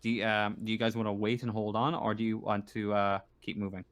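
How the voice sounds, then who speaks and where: A man speaking casually as a game master, narrating a tabletop game in a halting, hesitant way. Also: on the low side, level tone.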